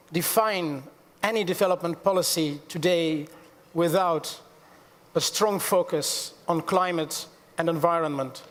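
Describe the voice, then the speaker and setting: A middle-aged man chairs a panel in a large auditorium, his fairly high voice carried by a long hall reverb. He speaks formally, corrects himself mid-phrase and drops in dry little asides. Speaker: panel moderator. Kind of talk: conference panel moderation.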